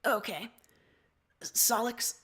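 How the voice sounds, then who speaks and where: Woman doing a grumpy young troll guy, blunt insults but kinda insecure, higher voice, fan fiction reading. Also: very slow, fairly bright tone. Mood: hesitant, sincere.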